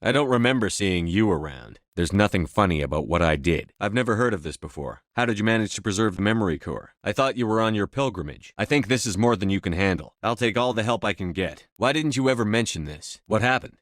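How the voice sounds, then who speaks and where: A man's voice: fairly low pitch, expressive, brisk, few pauses, fairly bright tone, dry recording, clean studio sound. A man playing a resolute, blunt military commander in a science fiction video game, speaking firmly and tersely with authority. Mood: firm, defensive. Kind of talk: scripted game dialogue.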